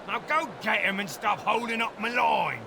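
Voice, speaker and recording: A man playing a gruff, brusque Victorian London fishmonger in an audio drama. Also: sits high, normal speed, noisy background. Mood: grudging, irritated. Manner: brusque, exasperated, barking.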